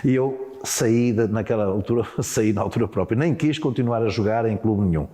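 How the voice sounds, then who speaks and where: A man's voice: lowish voice, moderate pace, dark tone, long reverb tail. A middle-aged man with a European Portuguese accent, a former handball player telling stories in an interview, stumbling and repeating words. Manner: hesitant, many repeated words and restarts. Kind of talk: relaxed interview storytelling.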